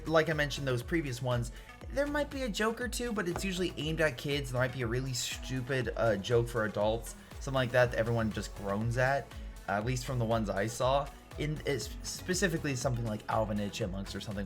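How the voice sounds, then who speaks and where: A man's voice: mid-range voice, animated, brisk. A man giving a casual, opinionated film review in an informal, emphatic, rambling way. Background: background music.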